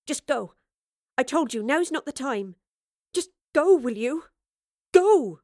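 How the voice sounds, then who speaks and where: A woman narrator acts out a kindly old working-class woman of a far-off future. She speaks in homely, colloquial phrases with a weary wistfulness, at a middling female pitch, recorded dry. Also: a little slow, no room sound, natural voice. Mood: urgent, impatient.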